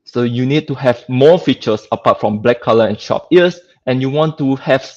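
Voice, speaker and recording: A man with a Singaporean accent presents an informal technical lecture in a conversational way, often tagging his points with a checking "right?". His voice sits at an average pitch. The sound is slightly dull and lo-fi, like online call audio. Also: normal speed, a little mellow. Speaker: guest speaker, lecturer.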